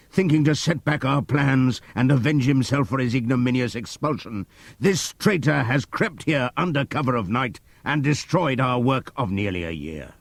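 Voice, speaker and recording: A man's voice: mid-range voice, vocal fry, no echo, voice pushed up, full character voice. A man voicing a commanding boar dictator in stern, emphatic oratory for an audiobook. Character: commanding, wrathful boar dictator rallying his animals.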